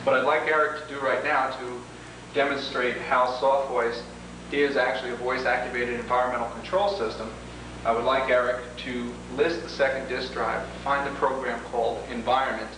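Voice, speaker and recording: A man narrating an instructional software demonstration, explaining each step in order. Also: mid-range voice. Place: reverberant indoor room, away from mic. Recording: very echoey, distant, noisy 1980s tape.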